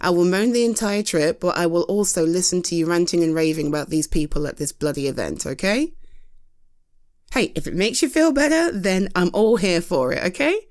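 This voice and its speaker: A British woman speaking in a loose, rambling roleplay to a friend. Her pitch leaps up and down as she jokes at her own expense, swears casually and keeps circling back to reassure. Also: lively intonation, a little fast, darkish tone. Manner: chatty, rambling, self-deprecating, reassuring; swears casually, laughs often. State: tired. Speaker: audio roleplay performer. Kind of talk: scripted roleplay monologue to a friend.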